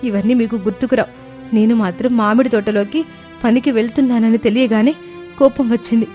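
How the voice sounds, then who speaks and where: A woman's voice: a little high, steady tone, a little fast, dark tone, lightly acted. A woman voicing an outspoken, aggrieved sparrow wife in a cartoon moral story, speaking in a plaintive, reproachful way. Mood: reproachful, hurt. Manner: plaintive, reproachful, long emotional speeches. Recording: music bed behind voice.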